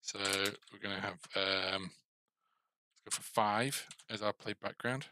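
A man's voice: lowish voice, steady tone, unhurried, pushed and bright, dry recording. A middle-aged man giving a casual coding tutorial, musing and asking himself questions as he works. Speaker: game developer presenting a coding series. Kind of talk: thinking aloud while coding, casual tutorial.

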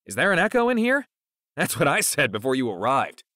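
A man's voice: on the high side, wildly swooping pitch, fairly quick, sharp tone, no echo, voice pushed up, full character voice. Guy doing a hyper stoner teen conspiracy nut for an audiobook, emphatic, voice pushed way up, super dry sound. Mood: indignant, cocky. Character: hyper stoner teenage conspiracy theorist, excitable young man. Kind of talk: audiobook character acting.

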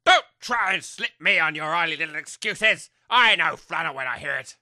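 A forceful, blustering angry lord. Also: male voice, on the high side, expressive, normal speed. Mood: threatening bluster. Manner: pompous, threatening, irascible. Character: blustering, bad-tempered aristocratic landowner. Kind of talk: comedy audio drama.

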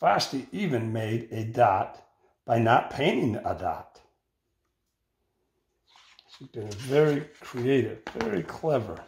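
An older man reads a children's picture book aloud in deliberate phrases, recorded indoors on a phone. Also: mid-range voice, big pitch swings, vocal fry, a little mellow. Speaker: storybook reader. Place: indoor room with bare walls. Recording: roomy, echoing home recording.

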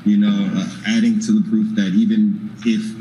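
A male sports reporter puts a question to the coach. His voice is low, very dark and flat, and he reels off numbers matter-of-factly. A faint background hiss stays under the line. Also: normal speed, dull audio. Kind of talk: asking a press conference question.

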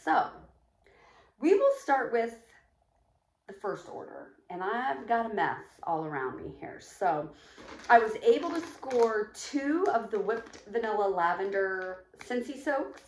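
A woman's voice: fairly high pitch, big pitch swings, a little slow. A middle-aged woman chatting casually to camera at home, rambling and counting items aloud as she shows a shopping haul. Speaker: vlogger showing a shopping haul. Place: echoey room at home. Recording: echoey home room, faint noise.

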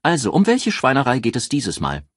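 Guy doing a brash lady journalist, husky, teasing, mock-formal, pitch jumps all over, detective audiobook. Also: huge pitch swings, fairly quick, no echo, clean studio sound. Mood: shrewd, playful. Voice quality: throaty. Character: exuberant, teasing, brash female newspaper editor.

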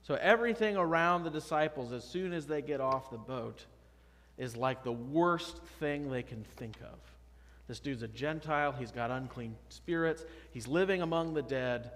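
Pastor in his thirties, casual emphatic preaching, mid-pitched voice, church reverb. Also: moderate pace, a bit bright. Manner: conversational, emphatic preaching with rhetorical questions. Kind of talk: sermon. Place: church sanctuary, at a pulpit. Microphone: pulpit microphone, far camera.

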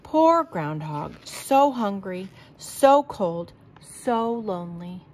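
A woman reading a children's storybook aloud in a deliberate, expressive manner. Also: medium pitch, slight background noise. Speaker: storybook reader.

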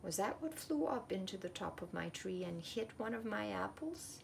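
A woman voicing a kindly, motherly old apple tree lady in a storybook, modest and gently fussy. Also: medium pitch, lively intonation, normal speed, gravelly, a little hiss or hum, pitched below the performer's own voice. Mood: welcoming.

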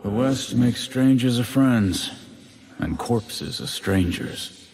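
An actor playing a grim, vengeful demon-possessed cowboy gunslinger in a video game, brooding and cool with a drawl and a demonic growl. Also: male voice, lively intonation, slow, a little mellow, slight background noise. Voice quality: low drawl with demonic growl layered on words.

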